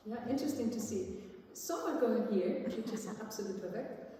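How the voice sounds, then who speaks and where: A woman from Iceland, a music teacher explaining things to a conference audience in an informal, halting way. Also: fairly high pitch, lively intonation, mellow. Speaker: music teacher and viola player presenting. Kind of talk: conference talk with audience questions. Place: large indoor conference hall. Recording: echoey hall from far off, faint noise.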